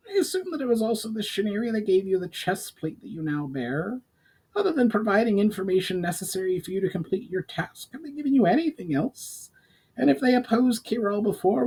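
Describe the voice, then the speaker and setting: Casting: a man as an earnest goblin sidekick for a fantasy storybook, high-pitched, formal, full of questions. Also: sing-song, a little fast, a little mellow, breathy edge, exaggerated voice, poor audio. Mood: curious. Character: inquisitive male goblin companion, earnest and formal.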